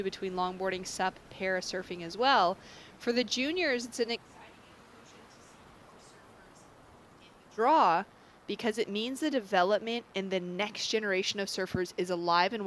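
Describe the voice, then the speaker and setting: A woman's voice: medium pitch, a bit bright, slight background noise. A woman commentating a surfing contest live, upbeat and full of facts. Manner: upbeat, informative.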